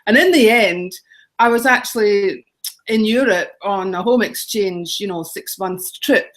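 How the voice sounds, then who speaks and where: Scottish woman, middle-aged, interview guest, chatty and rambling, mid-pitched, natural delivery. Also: unhurried. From Scotland. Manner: chatty, rambling, repeats phrases, frequent ums and laughs. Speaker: guest, home exchange author. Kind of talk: casual interview talk.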